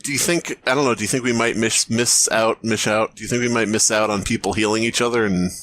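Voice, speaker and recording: Man podcasting over clear background noise. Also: lowish voice, steady tone, quick pace, fairly bright tone. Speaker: podcast host reading fan fiction. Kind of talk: casual chat.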